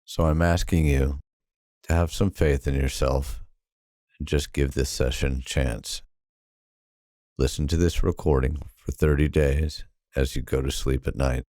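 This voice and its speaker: A man narrating a guided sleep hypnosis in a calm, soothing, unhurried manner with long pauses. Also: extremely low pitch, no echo, studio quality.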